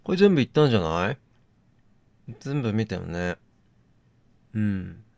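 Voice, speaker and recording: A man doing read-aloud game commentary, musing and deliberating as he thinks out loud. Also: fairly low pitch, sing-song, unhurried, creaky. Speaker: gaming channel host.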